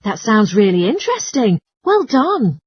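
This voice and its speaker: A woman reading scripted listening test dialogue and instructions in an articulate, orderly way, with a slightly husky voice. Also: fairly high pitch, very animated, a little slow, dry recording, studio quality, exaggerated voice. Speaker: listening test voice actor and announcer.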